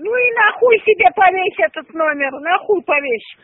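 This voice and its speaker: A shrill, indignant older woman cursing down a tinny phone line, her very high voice scolding without pause. The sound is dry and squeezed into a narrow telephone band. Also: lively intonation, no echo. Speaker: prank call target. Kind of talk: phone argument.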